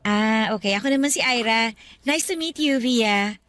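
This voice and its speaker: Modest young woman with a high voice, acted. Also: normal speed, lightly acted. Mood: friendly, slightly nervous. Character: modest, slightly nervous young woman, new office hire. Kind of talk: radio drama dialogue.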